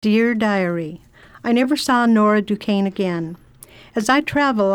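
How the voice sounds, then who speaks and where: A woman's voice: medium pitch, dry recording. A woman playing a proper, composed lady traveler in a radio drama, narrating her diary between scenes. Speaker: traveling narrator, Miss Gregory.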